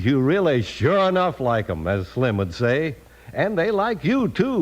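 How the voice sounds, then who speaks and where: A man announcing in scripted vintage radio style, with a folksy, animated salesman's delivery. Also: on the high side. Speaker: announcer and cereal pitchman. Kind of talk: 1950s radio announcing, scripted narration and ads. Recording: dull vintage radio recording.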